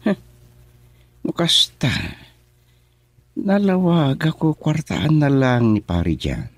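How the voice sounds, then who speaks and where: A man's voice: on the high side, very animated, slow pace, no echo, exaggerated voice. An actor playing an indignant young man confronting his friends, in Ilocano radio drama with a Filipino accent. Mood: indignant, scolding.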